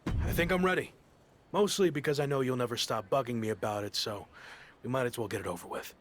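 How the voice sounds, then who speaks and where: Male video game wrestler, played by an actor: weary, determined, matter-of-fact, mid-pitched, with noise behind him. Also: quick pace, lightly acted. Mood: reluctant, resigned. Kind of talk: video game cutscene acting.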